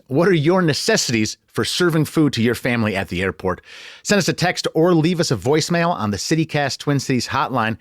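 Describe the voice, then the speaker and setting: A man's voice: fairly high pitch, dry recording, studio quality. A man hosting a news podcast in a conversational style. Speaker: podcast host.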